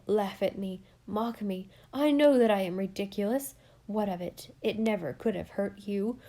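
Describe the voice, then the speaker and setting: A shy, awkward older man pours out his heart, pleading and impassioned, voiced by a woman reading aloud. The voice is mellow and warm, at an ordinary middle pitch. It is a storybook reading, not a full character voice.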